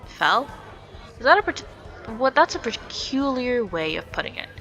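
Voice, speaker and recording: Naive angel heroine voiced by a woman, earnest and easily flustered, bright raised voice, visual novel game. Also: on the high side, sing-song, moderate pace, soft background music, pitched above the performer's own voice, poor audio. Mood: indignant. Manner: indignant, flustered, questioning.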